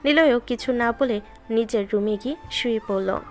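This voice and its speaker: Female narrator, fairly high pitch, scripted romantic storytelling, faint music behind. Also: moderate pace, a little mellow.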